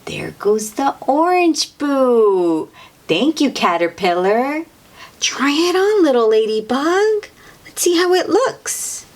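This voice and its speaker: Very animated, slow-paced woman reading to children. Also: sits high. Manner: animated, encouraging, sing-song talk addressed to small children. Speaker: children's read-aloud host. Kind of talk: picture book read-aloud for young children.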